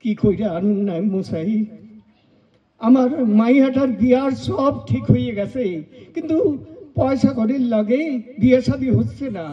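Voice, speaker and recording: A man's voice: extremely high pitch, moderate pace. A man playing a poor indigo farmer on stage, pleading plaintively in an eastern Bengali dialect. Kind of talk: stage play acting. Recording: dull, lo-fi sound.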